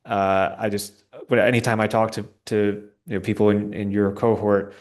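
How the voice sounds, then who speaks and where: Young adult man, lowish pitch, guest speaker, informal political talk, halting delivery. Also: flat delivery.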